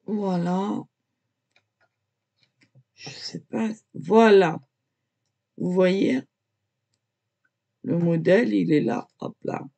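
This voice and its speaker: A woman's voice: on the low side, extremely slow, vocal fry, pauses often, warm tone, slightly rough. A woman casually talking viewers through her drawing, hesitant and full of filler words, prone to coughing as she talks. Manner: hesitant, lots of "voilà" and "euh"; coughs and chokes easily when talking. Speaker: amateur artist showing a drawing.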